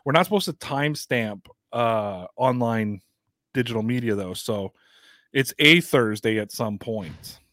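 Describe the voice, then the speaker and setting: A man hosting a sports podcast in casual chat, with energetic, rapid promo reading. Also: mid-range voice, dry recording, clean studio sound. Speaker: podcast host.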